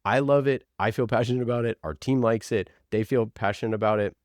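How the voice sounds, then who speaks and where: A man's voice: medium pitch, fairly quick, darkish tone, no room sound, clean studio sound. A man speaking casually as an interview guest, making his points with emphatic, passionate conviction and rhetorical questions. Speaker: podcast producer and guest. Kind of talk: casual conversational interview talk.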